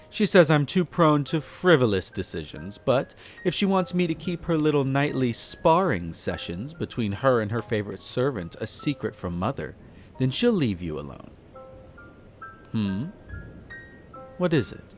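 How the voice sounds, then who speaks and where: A man playing a suave, flirtatious aristocratic vampire who talks to the listener with amused, haughty condescension in a roleplay audio drama. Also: mid-range voice. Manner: theatrical, leisurely storytelling with teasing, haughty, formal wording.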